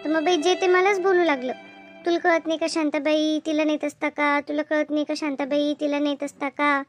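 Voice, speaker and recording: A woman's voice: high-pitched, little pitch movement, brisk, dry recording, soft background music, natural voice. A woman voicing a chatty, bossy yet easily hurt village woman in a cartoon comedy, with rustic, repetitive Marathi talk in a rural Maharashtra accent. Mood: hurt, explaining.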